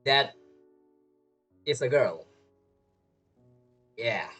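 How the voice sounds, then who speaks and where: A woman's voice: a bass voice, animated, extremely slow, a bit bright, husky, faint music behind. A woman giving casual game commentary as a streamer, chatty and reacting aloud in loose fragments.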